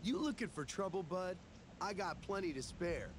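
An actor playing a cocky, boastful young caravan guard in a video game, swaggering and foul-mouthed. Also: male voice, sits high, animated, normal speed.

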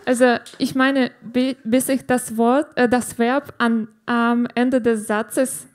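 A woman in her thirties from Ukraine, with a Ukrainian accent, doing a stand-up set on a club stage into a handheld mic, halting and restarting as she searches for words. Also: a little high, little pitch movement. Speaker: stand-up comedian. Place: comedy club stage with live audience.

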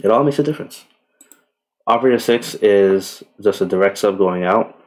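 A man giving a casual software tutorial in a relaxed, unhurried way with tentative asides. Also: lowish voice, background noise. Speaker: tutorial presenter. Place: indoors, voice-over on screen recording.

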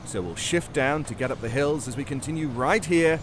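A man's voice: mid-range voice, brisk. A man hosting an audio drama showcase, giving a podcast introduction. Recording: clear vehicle noise behind voice. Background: car and outdoor noise.

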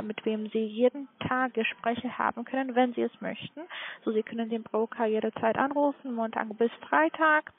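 A woman with a foreign accent in German making a polite, halting sales call as a non-native speaker. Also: fairly high pitch, a little hiss or hum. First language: not German. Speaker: telemarketing caller for a trading firm. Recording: tinny phone line.

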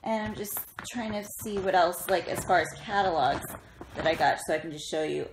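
A woman in her thirties chatting casually and excitably, with a self-deprecating, giggly manner, as a craft vlogger. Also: lowish voice, a little fast. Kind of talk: casual chatty haul vlog. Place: indoors in a bedroom, sitting up in bed. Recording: roomy echo, faint noise, handling clicks.

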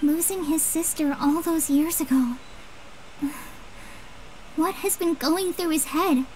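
A woman's voice: extremely high pitch, slow, darkish tone, a little hiss or hum, low-quality recording. A woman voicing an earnest, troubled, hesitant young girl in a fantasy game. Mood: troubled, pensive. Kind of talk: animated game dialogue.

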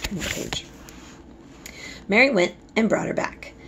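A woman's voice: mid-range voice, a little slow, bright, forceful tone, low-quality recording. A woman, reading a storybook aloud expressively like a teacher. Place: small room indoors. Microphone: phone at arm's length. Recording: faint room noise.

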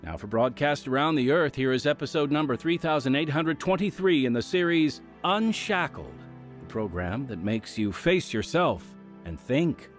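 A man announcing and hosting a scripted radio drama programme in a dramatic, measured manner. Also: fairly high pitch, expressive. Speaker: announcer and host. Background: music.

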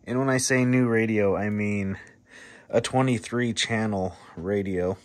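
A man's voice: on the low side, steady tone, a little slow. A man giving a casual, plainspoken hands-on demonstration in an indoor workshop. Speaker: host of a radio repair video. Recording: clear background noise, workshop ambience.

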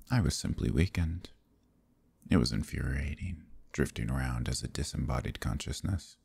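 Man voicing a very deep, gravelly demon king. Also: warm tone, full character voice. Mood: mocking, menacing. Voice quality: gravelly, husky, breathy. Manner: slow, taunting, condescending purr. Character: smug, possessive male demon king villain. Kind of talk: intimate ASMR fantasy roleplay.

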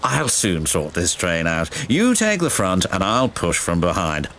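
A man's voice: low-pitched, wildly swooping pitch, measured pace, fairly bright tone, a little hiss or hum, full character voice. A man voicing a keen but flustered new diesel engine, apologetic in manner, in a children's storybook. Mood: eager.

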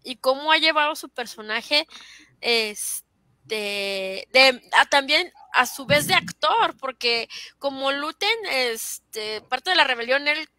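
A Mexican woman with a high, bright voice chatting with fellow fans over a video call. The sound is dry and close. She talks with enthusiasm and agrees emphatically. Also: expressive, slow pace, no room sound. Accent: Mexican Spanish. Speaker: podcast co-host. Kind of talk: casual fan chat over video call.

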